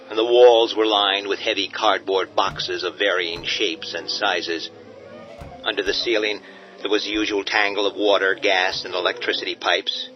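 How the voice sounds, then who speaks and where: A man giving measured, dramatic scripted narration of a horror radio drama. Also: fairly low pitch. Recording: dull lo-fi audio with faint music.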